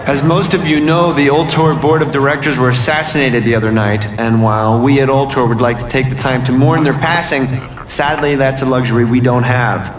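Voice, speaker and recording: An actor playing a smooth, scheming executive in a video game, glib, sardonic and polished. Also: male voice, medium pitch, fairly even intonation, fairly quick, a loud crowd, lightly acted, poor audio. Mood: public speech. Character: smooth, scheming male corporate executive, sardonic and self-assured. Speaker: corporate executive. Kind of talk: video game cutscene acting.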